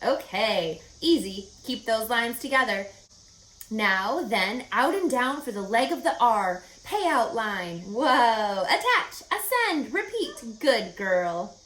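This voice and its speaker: A busy storybook spider, performed by a woman, talks herself through her work. Her high voice leaps about in clipped, cheering little orders. The recording has a roomy echo. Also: big pitch swings, a bit bright, heavily acted. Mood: focused, encouraging. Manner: clipped, chanted self-instructions, brisk and encouraging.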